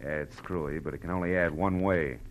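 Low-voiced hard-boiled American detective, played by a man. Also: fairly even intonation, a little fast, close to an everyday voice. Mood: tough, pressing. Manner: clipped, wisecracking, tough. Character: hard-boiled male private detective, wry and tough. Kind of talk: 1940s radio detective acting and first-person narration. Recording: old radio drama with music behind.

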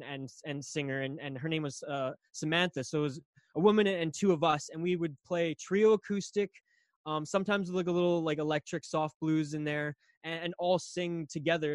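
A young man from Canada, a guest on a podcast, telling a long story casually with lots of fillers and restarts, his voice a little raspy. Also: fairly high pitch, dry recording. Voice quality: slightly raspy.

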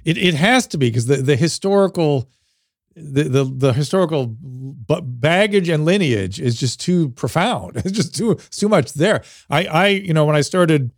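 A man's voice: a little high, lively intonation, moderate pace, no room sound, studio quality. A man from the United States with an American accent, hosting a casual interview in a tentative, reflective way, circling around his point. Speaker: interview host.

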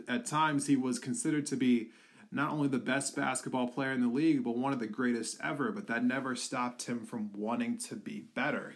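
A young man from the Philadelphia area talking earnestly in a personal tribute, halting with many fillers. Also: mid-range voice. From Philadelphia area, USA. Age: young adult.

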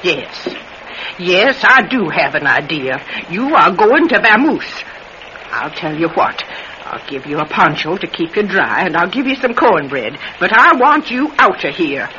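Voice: mid-range voice, sing-song, measured pace, sharp tone. A performer with a Southern US accent playing a stubborn, scolding old country woman in a radio drama, folksy and plain-spoken. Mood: wary, firm. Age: older. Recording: dull, faintly noisy old radio recording.

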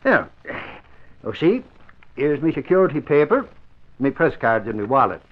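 A man's voice: mid-range voice, very animated, normal speed. An actor playing a flustered, indignant newspaperman in a radio drama, blustering and sputtering. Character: flustered, indignant male newspaperman held at gunpoint. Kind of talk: 1950s radio drama acting. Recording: dull, lo-fi old radio recording.

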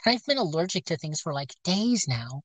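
A woman's voice: fairly low pitch, very animated, brisk. A woman with a scratchy voice chatting casually, rambling and hesitant with many fillers and restarts. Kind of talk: casual chat. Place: outdoors, near her car.

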